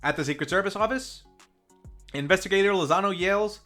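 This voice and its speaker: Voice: mid-range voice, brisk, a bit bright, faint music behind. A film review host narrating a scripted recap in a casual, playful storytelling manner.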